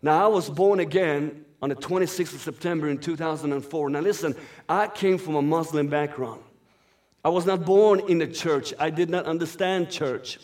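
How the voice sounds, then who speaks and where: Guy preaching a sermon, farsi first language, grew up in sweden, fairly high voice, earnest. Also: moderate pace, a little mellow. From born in Iran, raised in Sweden. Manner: earnest, flowing preaching with scripture reading. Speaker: guest preacher.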